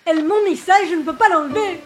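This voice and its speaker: A woman's voice: very high voice, fast, slight background noise. A woman voicing a helpless young friend in a French children's cartoon, pleading and whiny. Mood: distressed pleading.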